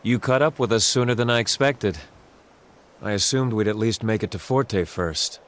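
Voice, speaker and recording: An actor voicing a calm, resolute young prince in a video game, formal and measured in his speech. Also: fairly low pitch, natural voice. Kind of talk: video game dub acting.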